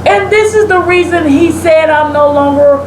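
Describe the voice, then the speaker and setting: A woman with a fairly high voice speaks like an emphatic preacher giving her opinion. She is casual but pointed, leaning on rhetorical questions. The room echoes and there is clear background noise. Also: moderate pace. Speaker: talk show host giving opinions. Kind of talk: opinionated commentary in a casual conversation. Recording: roomy echo, clear air-conditioning-like background noise.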